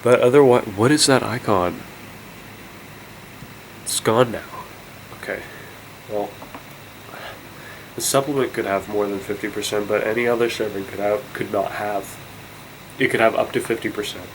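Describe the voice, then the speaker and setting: Stuffy-nosed young guy rambling on camera, lowish voice, faint ac hum behind. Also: steady tone, normal speed, pauses often, poor audio. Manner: rambling, incredulous, many fillers and restarts. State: congested. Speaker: vlogger. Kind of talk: casual rant to camera.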